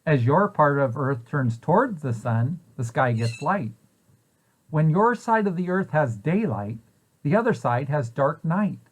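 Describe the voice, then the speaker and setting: A man's voice: a little high, big pitch swings, a little slow, poor audio. A man reading a children's picture book aloud, posing questions and answers in a storytelling way for young listeners. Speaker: preschool site director reading aloud.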